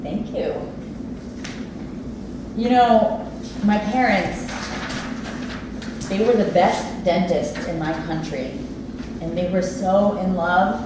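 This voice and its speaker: Stage play role: wistful, quirky immigrant housekeeper played by a woman, unhurried and halting, heard from afar over clear noise. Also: on the low side. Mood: fond, confiding. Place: live staged reading in an echoey theater space. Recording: distant, very echoey noisy room recording.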